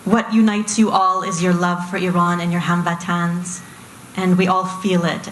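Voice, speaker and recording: A woman with a slightly low voice speaks from the audience with effusive praise. Her questions come in full, eager sentences. The room echoes and a faint hum sits underneath. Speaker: audience member asking a question. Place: conference hall audience.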